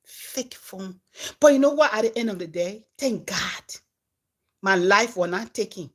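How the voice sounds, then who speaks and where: A Liberian woman, a comedian and content creator, telling her followers a story in a casual, animated way that swings from loud to hushed. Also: medium pitch, unhurried.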